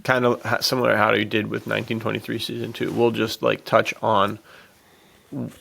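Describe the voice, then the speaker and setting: Male podcast co-host, heavily creaky and somewhat low, slangy, informal film chat. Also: little pitch movement, a little fast, a bit bright. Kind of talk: casual chat about films.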